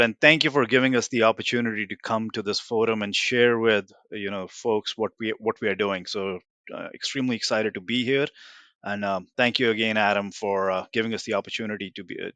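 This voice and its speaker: A man's voice: fairly low pitch, steady tone, brisk, bright, forceful tone, no echo, dull audio. A man in his forties from India with an Indian accent, a guest telling his story in a rambling, self-deprecating way with lots of fillers. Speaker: guest, tech company founder. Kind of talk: casual interview talk.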